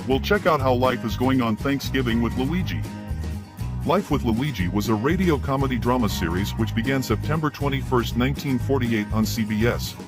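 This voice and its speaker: Low-key American radio host over clear music. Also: male voice. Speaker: host of old-time radio show. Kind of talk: scripted narration.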